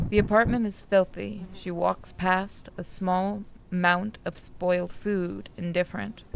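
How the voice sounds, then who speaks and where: Woman narrating, slightly low and creaky. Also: noisy background. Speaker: game streamer reading a visual novel aloud. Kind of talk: scripted narration with casual asides.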